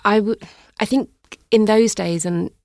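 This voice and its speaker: A woman's voice: medium pitch, steady tone, a little slow, darkish tone, no echo. A woman in her forties from north-west England, a guest in a studio interview, speaking thoughtfully and searching for her words with restarts. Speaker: guest, music journalist. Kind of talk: reflective conversational interview.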